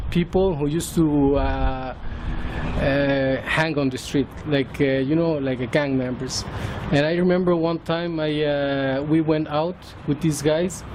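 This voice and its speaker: Hesitant Mexican man, outdoor noise. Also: on the high side. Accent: Mexican Spanish. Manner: hesitant, many fillers and restarts. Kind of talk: personal testimony. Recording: outdoor traffic noise behind.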